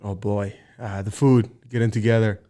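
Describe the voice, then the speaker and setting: A man in his thirties from the Dominican Republic, a guest telling stories in a relaxed, easygoing way. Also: lowish voice, moderate pace. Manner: relaxed, easygoing storyteller with many fillers. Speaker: guest, firefighter. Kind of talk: casual interview chat.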